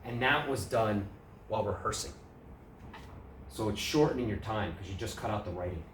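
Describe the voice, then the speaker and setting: A man's voice: on the low side, normal speed. New York man teaching a workshop in a roomy hall. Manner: relaxed, questions the audience. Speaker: workshop presenter. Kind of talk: conversational speaking workshop. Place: club meeting room. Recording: roomy, distant mic, faint room noise.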